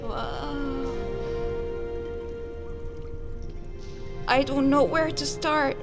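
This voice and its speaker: A woman voicing a small magical pet companion in an animated film, bossy, protective and exclamatory. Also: high-pitched, takes it slowly. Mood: hesitant, gentle. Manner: exclamatory, scolding, commanding. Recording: loud music over the voice.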